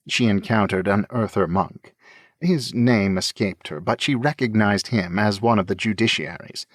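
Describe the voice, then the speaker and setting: A man's voice: lowish voice, no room sound, studio quality. A man reading scripted fantasy narration as an audiobook narrator.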